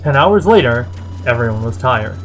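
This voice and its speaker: A woman reading a story aloud as narrator, with an expressive, theatrical delivery. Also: rumbling low, normal speed, soft background music. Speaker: fan fiction reader.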